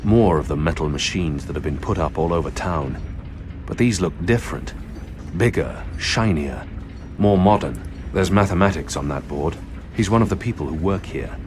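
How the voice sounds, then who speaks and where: An actor playing a calm, grim male warrior fugitive in a video game, who speaks in short, measured, deadpan lines. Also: low-pitched, noisy background. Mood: musing.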